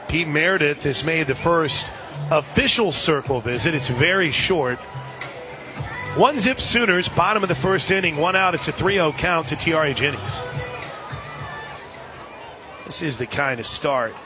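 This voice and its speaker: A man doing live radio play-by-play of softball as the game announcer. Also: a little high, moderate pace, pauses often, over music. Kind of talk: live play-by-play sports commentary. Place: outdoor softball ballpark broadcast position. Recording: crowd noise, noisy outdoor ballpark broadcast. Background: ballpark crowd and ambient noise.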